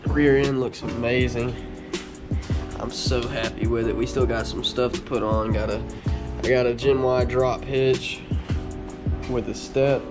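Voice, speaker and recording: Stuffed-up young man in a garage. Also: mid-range voice. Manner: relaxed, plain explanatory talk with fillers. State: congested, stuffed-up nose. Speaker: automotive vlogger. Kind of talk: casual how-to vlog with voiceover. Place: home garage workshop. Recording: music playing behind.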